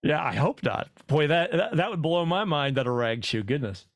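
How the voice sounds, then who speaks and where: A man's voice: fairly high pitch, animated, moderate pace, dry recording, clean studio sound. A man who teaches classes, chatting on a video call, stumbling over words and laughing easily. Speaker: club instructor. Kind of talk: casual group video-call discussion.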